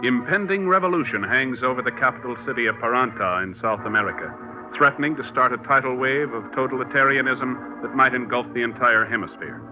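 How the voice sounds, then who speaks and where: A man's voice: medium pitch, fairly quick. A man working as a radio announcer, reading a script in an emphatic, formal 1940s style. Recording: muffled old radio recording, music behind.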